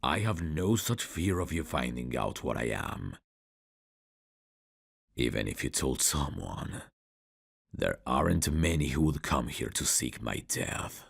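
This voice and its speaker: Very deep, gravelly vampire count. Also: male voice, sing-song, no room sound, studio quality, full character voice. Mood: intimate, intrigued. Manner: slow, courtly, old-fashioned, intimate phrasing. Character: suave male vampire count, courtly, seductive, quietly menacing. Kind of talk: ASMR roleplay acting. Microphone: close dry studio mic.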